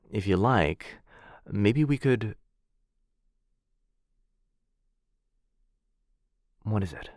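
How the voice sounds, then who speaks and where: A courtly vampire lord, played by a man, low-pitched and closely recorded with a dry sound. He is protective, tender yet capable of ferocity, in the manner of an intimate fantasy audio roleplay. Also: dry recording. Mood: gentle, courteous. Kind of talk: intimate ASMR boyfriend roleplay acting.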